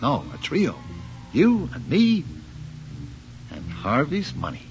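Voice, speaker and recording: Swinging-pitched, cynical male accomplice. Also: wildly swooping pitch, slow pace, heavily acted. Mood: glib, hard. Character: cynical, callous male lover and accomplice in murder. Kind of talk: dramatic screen dialogue. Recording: muffled soundtrack under loud music.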